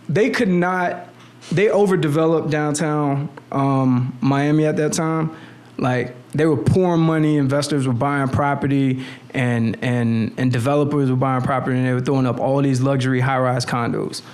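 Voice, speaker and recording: A man's voice: medium pitch, steady tone, moderate pace, darkish tone. A man in his thirties chatting casually as a guest, slangy and rambling, often restarting his sentences. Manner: slangy, rambling, lots of restarts and "you know what I'm saying". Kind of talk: casual conversation.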